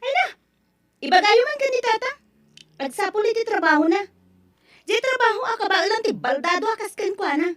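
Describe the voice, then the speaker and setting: A woman playing a blunt, resentful young mother complaining sharp-tongued to her parent in an Ilocano drama. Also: very high voice, animated, a little fast, long pauses, recorded from a distance. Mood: annoyed. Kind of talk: Ilocano radio-style drama acting.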